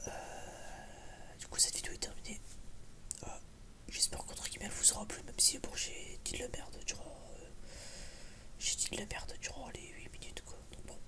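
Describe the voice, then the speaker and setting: A man whispering in a breathy, almost unvoiced voice, chatting casually and hesitantly in a rambling, blunt, slangy ASMR style. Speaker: ASMR YouTuber, film reviewer.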